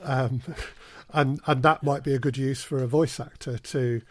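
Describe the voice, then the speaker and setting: A man with a mid-pitched voice talks as an interview guest. He explains in a measured, conversational way, often repeating words as he gathers his thought. The sound is very dry. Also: steady tone, a little mellow, no echo. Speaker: guest, audio drama producer. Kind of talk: casual podcast interview.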